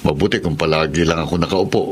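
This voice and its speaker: Gruff middle-aged janitor father. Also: a bass voice, fairly even intonation, fast, background noise. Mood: plain. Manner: gruff, scolding. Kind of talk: radio drama acting.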